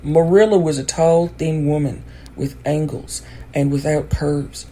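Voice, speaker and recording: A woman's voice: deep voice, fairly even intonation, unhurried, warm tone, poor audio. A woman reading a classic storybook aloud as scripted audiobook narration. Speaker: audiobook reader.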